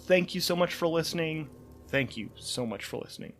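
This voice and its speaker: A man's voice: on the high side, animated, brisk, a bit bright. A man hosting a solo tabletop game, thinking aloud informally and correcting himself as he goes, with ambience behind him. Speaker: solo game player and podcast host.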